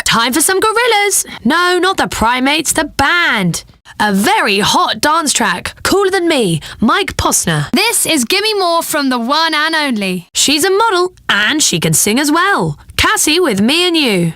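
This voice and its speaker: Female pop radio DJ, video game, playful, upbeat, crisp forceful tone, dry close studio sound. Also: high voice, no room sound, exaggerated voice. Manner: playful, teasing, punchy. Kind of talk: slick scripted radio song introductions.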